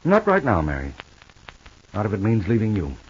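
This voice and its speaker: An actor playing a protective, stubbornly loving husband in a 1950s radio soap, affectionate, offhand and firm. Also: male voice, fairly low pitch, huge pitch swings, normal speed, breathy edge, full character voice. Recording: dull, band-limited old radio recording.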